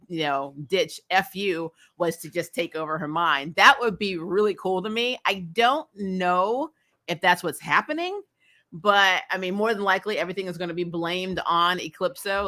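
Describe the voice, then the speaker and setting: A woman's voice: lowish voice, moderate pace, sharp tone. A woman hosting a casual livestreamed TV recap, speaking in an emphatic, blunt, opinionated way with casual swearing. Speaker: host of a TV show recap channel.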